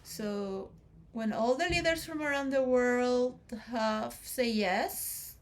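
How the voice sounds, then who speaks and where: A woman giving a rambling philosophical monologue to role players, shifting between soft musing and loud emotional outbursts. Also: fairly high pitch, slow. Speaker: speaker addressing role players.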